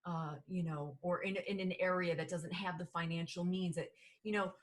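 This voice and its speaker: A woman's voice: lowish voice, fairly even intonation, moderate pace. A woman with a New Jersey accent, hosting a podcast in a rambling casual chat full of restarts and fillers. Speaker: podcast host.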